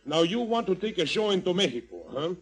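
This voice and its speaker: Officious customs officer, old radio serial style, slightly high and very inflected. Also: male voice, lively intonation, fast, slightly rough, exaggerated voice. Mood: questioning. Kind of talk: 1930s radio serial acting.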